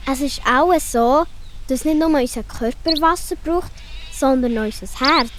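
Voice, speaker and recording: A woman acts out a caring young female forest animal in a children's radio play. The voice is high, Swiss German in accent and motherly in manner, over clearly audible background noise. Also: measured pace. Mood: gently explaining.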